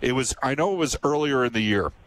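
A man's voice: mid-range voice, animated, fast, fairly bright tone, a little hiss or hum, rough recording. A man from Canada hosting a casual chat, telling rambling anecdotes full of fillers. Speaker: host.

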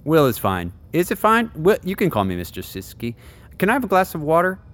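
A man playing a nervous, self-deprecating tech worker in an audio drama, halting, stammering and correcting himself as he rambles. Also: a little high, wildly swooping pitch, a bit bright. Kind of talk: naturalistic audio drama dialogue.

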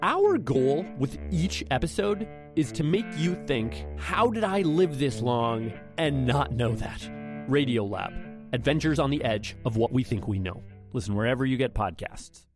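A man's voice: medium pitch, lively intonation, a little slow. A man reading a scripted promo as a podcast host. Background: plucked guitar music.

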